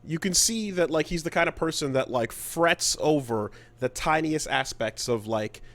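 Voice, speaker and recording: A man co-hosting a casual podcast about video games, speaking haltingly and repeating words mid-thought. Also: fairly high pitch, a bit bright. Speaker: podcast co-host.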